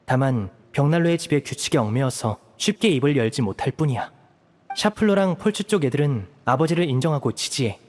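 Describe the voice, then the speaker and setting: A man voicing a composed, protective young elder brother in an anime-style game, explaining things in a measured, serious way. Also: mid-range voice, animated, darkish tone, lightly acted. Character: composed young magician, protective eldest brother, earnest strategist.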